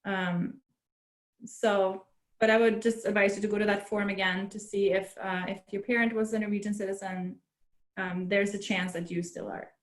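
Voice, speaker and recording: A woman consular officer answering questions in a webinar, relaxed and helpful, with easy fillers, over a video call. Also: mid-range voice, normal speed, reverberant.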